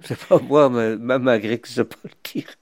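A man chatting casually in an interview, making quick, offhand remarks. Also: mid-range voice, steady tone, crowd noise. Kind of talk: casual chat.

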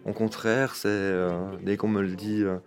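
A man with a clear Liège Belgian French accent talks casually in an interview. His voice sits fairly low. He is amused and self-deprecating, with little laughs between remarks. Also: flat delivery, unhurried, crowd noise. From Liège region, Belgium. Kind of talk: casual anecdotal chat.

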